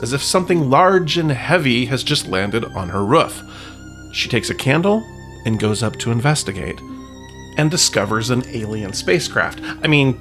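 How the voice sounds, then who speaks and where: A man's voice: mid-range voice, fairly bright tone. A man hosting a podcast, reading scripted narration in a wry way with playful asides. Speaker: podcast host.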